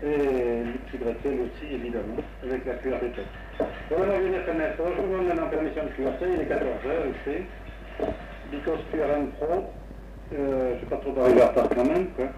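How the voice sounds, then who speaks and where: A man's voice: mid-range voice, brisk, background noise. Man on a hazy old amateur radio link. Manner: rambling, technical, many hesitations. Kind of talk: casual on-air radio chat. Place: home radio station. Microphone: crystal broadcast-style microphone about a metre away. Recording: roomy, distant, dull 1960s AM radio recording.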